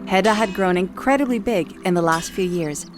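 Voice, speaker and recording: A woman's voice: mid-range voice, normal speed. A woman reading a scripted story as the narrator of an audio drama. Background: music.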